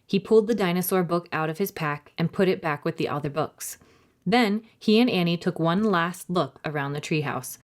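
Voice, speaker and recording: A woman's voice: on the low side, moderate pace. A woman hosting a children's storytime, narrating expressively and addressing young listeners directly. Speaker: library storytime host and reader.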